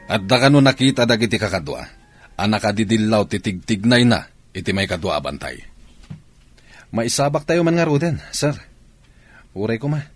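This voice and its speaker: A man acting an earnest, brooding Filipino soldier in a radio drama, with a husky voice. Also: fairly low pitch, big pitch swings, moderate pace, pauses often. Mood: earnest, commanding.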